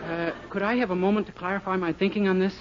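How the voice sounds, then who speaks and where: Man as a high-voiced, naive, polite young clerk. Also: steady tone, fast, over a noisy crowd, close to an everyday voice. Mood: meek, sheepish. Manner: guileless, gee-whiz, deferential. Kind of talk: 1940s radio sitcom acting. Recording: muffled, very noisy 1940s radio recording.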